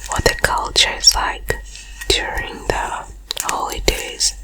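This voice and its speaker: Congested woman whispering ASMR. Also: slow. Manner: soft unvoiced whisper throughout. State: congested from a cold. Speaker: ASMR host. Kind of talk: ASMR personal attention.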